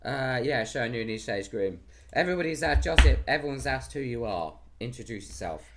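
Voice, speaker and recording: A British man hosts a live stream in a casual, chatty way. His voice is hoarse, at a middle pitch, with some noise behind it. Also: lively intonation, a little fast. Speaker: live stream host and trader.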